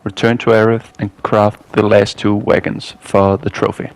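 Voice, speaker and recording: A man's voice: on the low side, flat delivery, normal speed, creaky, rough recording. A man narrating a scripted video game walkthrough as a guide.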